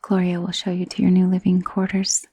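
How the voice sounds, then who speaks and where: Woman playing a soft, breathy, coaxing vampire mistress. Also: lowish voice, completely flat delivery, a little fast, no room sound. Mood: warm, welcoming. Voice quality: soft, breathy, often dropping to a whisper. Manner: soothing, genteel, doting. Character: gentle, coaxing female vampire mistress of a mansion. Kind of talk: ASMR roleplay, intimate soft-spoken acting.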